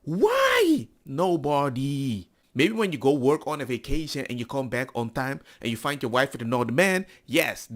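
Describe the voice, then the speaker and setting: A man talks through reaction commentary with a sarcastic, mocking edge. His pitch swings widely and jumps high for mocking asides. He keeps an ordinary middle register the rest of the time. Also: sing-song, moderate pace, hardly any pauses, slight background noise. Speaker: commentary channel host.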